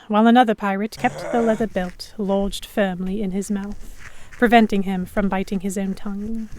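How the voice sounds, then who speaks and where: A woman narrating in first person as a composed captive in a historical pirate audio drama, with measured, dramatic storytelling. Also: mid-range voice, a little mellow, natural voice. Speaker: narrator and lead actor.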